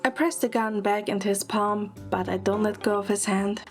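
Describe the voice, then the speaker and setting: A woman with a middle-pitched voice narrates a scripted audio drama in the first person. She also speaks as its lead character. Music plays behind her. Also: steady tone, moderate pace.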